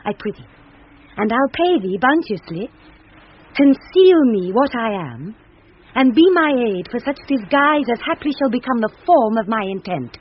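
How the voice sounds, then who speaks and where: A woman's voice: a little high, very animated, normal speed, husky. An actress with a British accent playing an earnest, resolute young noblewoman in a radio Shakespeare play. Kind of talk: 1970s BBC radio Shakespeare acting. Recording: dull, noisy vintage radio recording.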